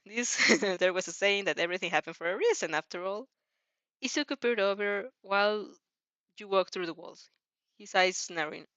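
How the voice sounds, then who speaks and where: A woman's voice: medium pitch, moderate pace, a bit bright, no room sound, highs cut off. A woman narrating fan fiction dramatically, often stumbling and rephrasing her lines as she reads. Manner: repeats and rephrases phrases.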